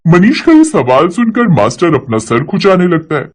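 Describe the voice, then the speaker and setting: High-voiced, animated male story narrator. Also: moderate pace. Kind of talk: scripted cartoon story narration.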